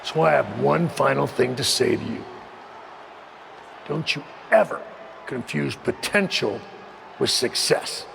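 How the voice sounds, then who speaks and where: Game role: exasperated wrestling boss, American, deep creak in a raised voice, emphatic scolding, big echoing hall. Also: male voice, heavily acted. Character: exasperated, stern veteran wrestler turned boss. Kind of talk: wrestling promo acting. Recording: booming arena reverb, faint noise.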